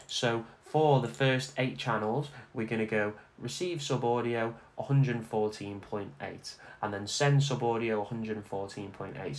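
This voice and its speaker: A man giving a casual, informal software tutorial, instructing step by step and repeating himself as he goes. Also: on the low side. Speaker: tutorial host. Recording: clear background noise.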